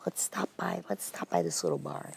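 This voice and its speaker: Woman, low voice, gravelly, husky, chatty storyteller. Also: moderate pace, in a whisper. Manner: storytelling, animated, says "man" a lot. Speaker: guest musician and vocalist. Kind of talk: casual interview chat.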